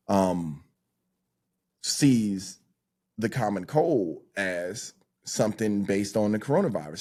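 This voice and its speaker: Podcast host guy, lowish voice, rambly casual chat full of likes and ums. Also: warm tone. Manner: loose, rambling talk full of "like" and "um".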